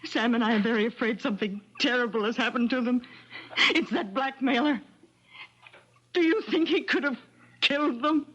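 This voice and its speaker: An anxious, distraught small-town woman in a vintage radio melodrama. Her high voice is husky and breathless. The sound is muffled. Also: moderate pace, long pauses, fairly bright tone. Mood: frightened, distraught. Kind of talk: 1970s radio melodrama acting. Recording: muffled 1970s radio broadcast.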